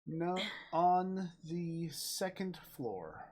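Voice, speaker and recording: Slow, low-voiced woman co-player. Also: very animated, mellow, slightly rough. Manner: slow, spells letters out one by one. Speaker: co-player on a game stream. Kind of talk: casual puzzle-solving chat.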